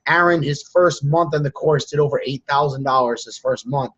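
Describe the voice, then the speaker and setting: A man whose voice is husky and strained from laryngitis, sitting a little high in pitch. He coaches bluntly, lecturing his students over a live call. The sound is dull and lo-fi. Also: normal speed, a bit bright. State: hoarse from laryngitis. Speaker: course teacher hosting a live group call. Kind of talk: casual coaching chat. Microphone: online call.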